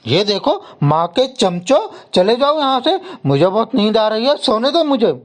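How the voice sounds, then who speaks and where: An actor playing a lazy, quick-tempered husband in a dramatic cartoon story, loud, indignant and whining. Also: mid-range voice, big pitch swings. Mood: annoyed, sleepy.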